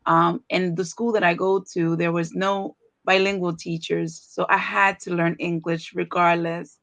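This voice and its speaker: A woman's voice: lowish voice, level tone, measured pace, fairly bright tone, dry recording. A middle-aged woman from the Dominican Republic, a native Spanish speaker, earnestly telling her life story as a guest speaker, with many ums and restarts.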